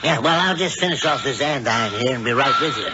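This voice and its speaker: An actor playing a folksy, easygoing blacksmith husband with a scheming side, in a 1970s radio drama. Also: male voice, medium pitch, expressive, fast, natural voice. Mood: businesslike, easygoing. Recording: noisy, dull old radio broadcast.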